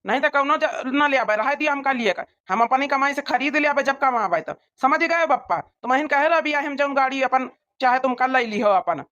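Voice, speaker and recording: Casting: woman as sulky young village groom for cartoon comedy, very high voice, demanding, insistent, muffled recording. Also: expressive, brisk, hardly any pauses, a bit bright. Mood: sulky, defiant. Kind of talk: amateur comedy cartoon dialogue.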